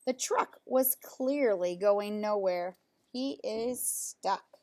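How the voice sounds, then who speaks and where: A woman reading a children's storybook aloud in an expressive, emphatic storytelling way. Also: medium pitch, slow. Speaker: storybook reader.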